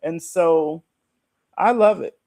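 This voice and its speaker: A man's voice: fairly high pitch, takes it slowly, vocal fry, darkish tone. A man talking casually as a podcast guest, explaining things in a conversational way. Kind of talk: casual conversation about online platforms.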